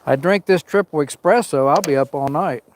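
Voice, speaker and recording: A man's voice: fairly high pitch, normal speed, slight background noise, rough recording. A man hosting a camping livestream outdoors in the cold woods, sniffling as he chats with viewers and greets them by name. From Arizona. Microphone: small mic worn on him.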